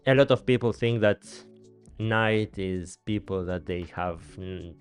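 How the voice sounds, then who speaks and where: A man's voice: lowish voice, measured pace, faint music behind. Greek-accented man hosting a podcast. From Greece. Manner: chatty, laughs often, non-native grammar. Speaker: podcast host. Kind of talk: casual interview chat. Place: small podcast studio with paneled walls. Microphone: studio mic on boom arm, headphones.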